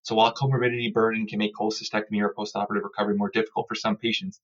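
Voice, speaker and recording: A man's voice: lowish voice, level tone, very fast, fairly bright tone, muffled recording. A man speaking as a research guest in an interview, giving formal, articulate technical explanations.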